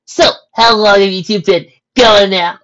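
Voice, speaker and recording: A woman voicing a brash, teasing young female pony in a storybook reading, loud and cheeky. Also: low voice, lively intonation, moderate pace, slightly rough, dull audio, voice pushed up, heavily acted. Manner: loud, cheeky, drawling for emphasis.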